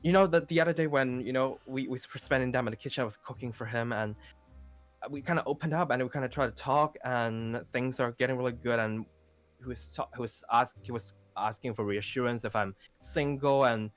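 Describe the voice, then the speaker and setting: A man's voice: mid-range voice, soft background music, natural voice. Man playing an earnest, hesitant young best friend. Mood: awkward, confiding. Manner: halting, repeats words, pads with "you know". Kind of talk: improvised Minecraft roleplay acting.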